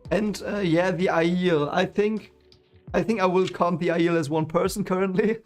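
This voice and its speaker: A man's voice: high voice, fairly even intonation, normal speed, faint music behind. A man with a German accent, German his first language, chatting casually with his live chat about a book he is reading, rambling with many fillers and restarts. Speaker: streamer reading a book series.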